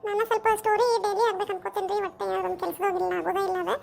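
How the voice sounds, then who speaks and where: A woman's voice: very high-pitched, level tone, very fast, vocal fry, a little mellow. A woman with a North Karnataka accent, informally addressing viewers as the creator and host of a cartoon story. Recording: faintly noisy home recording.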